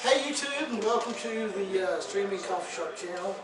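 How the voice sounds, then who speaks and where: A man's voice: sits high, expressive, moderate pace, background noise. Rambling man hosting in a coffee shop. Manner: rambling, many fillers and restarts. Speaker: coffee shop owner and show host. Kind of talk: casual impromptu interview. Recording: echoey room, mic far off, music playing. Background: music.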